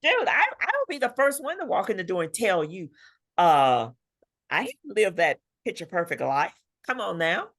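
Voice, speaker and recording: A woman's voice: on the low side, big pitch swings, moderate pace, fairly bright tone. A middle-aged woman talking candidly as an interview guest, emphatic and self-assured, often quoting herself as she tells her story. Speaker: guest author.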